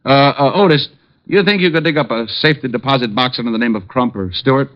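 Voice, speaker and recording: An actor playing a quick-witted, wisecracking private detective in an old radio drama. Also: male voice, medium pitch, close to an everyday voice. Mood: reasoning. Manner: sardonic, wisecracking, smooth reasoning. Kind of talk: 1940s-50s radio detective drama acting. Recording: muffled old-time radio recording.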